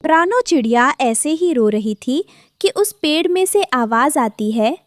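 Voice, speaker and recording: A woman's voice: high voice, lively intonation, a little slow, dry recording, studio quality. A woman narrating a scripted cartoon story.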